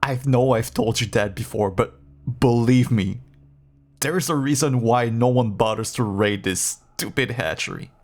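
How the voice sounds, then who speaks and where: A man playing a caged feral vampire who pleads clingily and bitterly with an estranged partner in an intimate dramatic audio roleplay, his voice husky and gravelly. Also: mid-range voice, measured pace, natural voice. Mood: aggrieved, complaining. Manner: clingy, pleading, swinging from hushed endearments to bitter outbursts.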